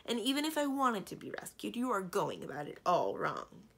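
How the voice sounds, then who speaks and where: A woman voicing a strong-willed young storybook princess who speaks in a brisk, emphatic, lecturing way. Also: mid-range voice, huge pitch swings, vocal fry, a bit bright, voice pushed up, exaggerated voice. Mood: firm. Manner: emphatic, lecturing, matter-of-fact. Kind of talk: storybook character dialogue.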